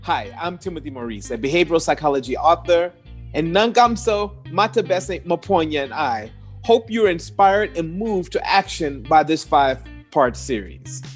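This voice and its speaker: A man's voice: fairly high pitch, lively intonation, normal speed. A man reading a scripted introduction to a talk series in a promotional tone. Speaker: series host. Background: guitar music.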